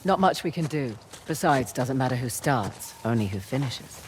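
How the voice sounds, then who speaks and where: A woman's voice: rumbling low, expressive, moderate pace, slight background noise. A woman playing a wry, teasing, adventurous treasure hunter in a video game cutscene. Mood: wry, focused.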